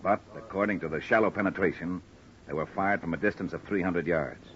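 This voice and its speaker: A man's voice: low voice, a little fast, a bit bright. An American man playing a plain-spoken, weary police sergeant in an old-time radio drama, folksy and matter-of-fact. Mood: puzzled, businesslike. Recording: dull, faintly noisy old radio recording.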